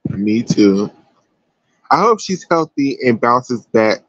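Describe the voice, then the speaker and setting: A man's voice: mid-range voice, big pitch swings, takes it slowly, no echo, muffled recording. An American man giving casual, chatty sports commentary as a livestream host, emphatic and slangy.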